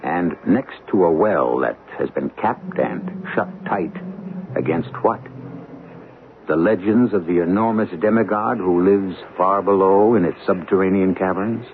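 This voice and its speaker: An actor as a grave host narrating radio horror in a dramatic, measured storytelling manner. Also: male voice, low voice, background noise. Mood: ominous. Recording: narrow-band old radio, music behind.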